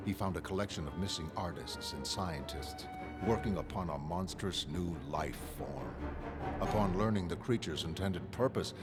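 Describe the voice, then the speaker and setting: A male actor as a coldly composed mastermind with a deep voice. He lays out his thoughts in measured, grandiose phrases, like a villain in an animated comic drama, with prominent music underneath. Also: close to an everyday voice. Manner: measured, cold, articulate, grandiose. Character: calm, calculating male mastermind confessing his grand plan. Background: dramatic background music.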